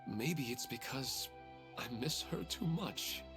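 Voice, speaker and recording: An actor playing a sorrowful, weary widower in a video game, speaking in a downcast, hesitant way. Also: male voice, medium pitch, expressive, slow, over music. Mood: wistful. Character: weary, sorrowful widower clinging to dreams of his wife.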